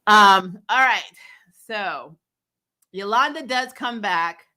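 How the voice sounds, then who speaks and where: A woman hosting a casual recap show, blunt and opinionated, retelling scenes in an animated, chatty way with some mild swearing. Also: mid-range voice, slow pace. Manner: animated retelling, acting out dialogue, prone to chuckling; blunt, opinionated, mildly profane. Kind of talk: casual episode recap and commentary.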